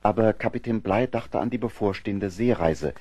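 A man narrating an audio drama from a script in a measured, factual storytelling manner. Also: on the low side, dark tone, no echo.

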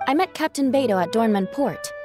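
A woman's voice: a little high, lively intonation, brisk, music in the background, natural voice. An actress playing a composed female fantasy knight in a video game.

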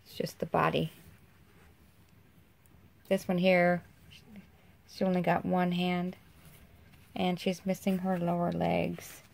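A woman in her fifties with an American accent, chatting casually into a handheld phone camera, folksy and quick to laugh. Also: fairly low pitch, flat delivery, gravelly. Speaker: vlogger. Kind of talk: casual vlog chat. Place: inside a vehicle.

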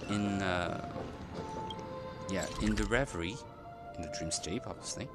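Low, slow-talking man with hesitant commentary. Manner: rambling, hesitant with many fillers and restarts. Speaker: gaming streamer. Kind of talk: casual gameplay commentary. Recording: game music loud behind voice.

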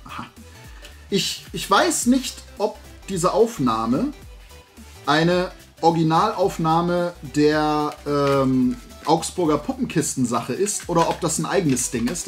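A middle-aged man chatting casually and enthusiastically in a rambling haul vlog. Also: fairly high pitch. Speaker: YouTube host and collector. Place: indoor room full of shelved toys.

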